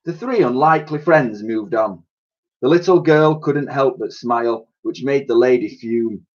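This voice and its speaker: A man reading a children's story aloud in an animated, expressive storytelling style. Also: medium pitch, measured pace, highs cut off.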